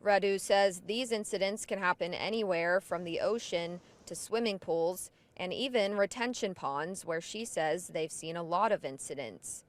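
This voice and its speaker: Woman field reporter, measured delivery. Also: lowish voice. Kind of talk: scripted news report.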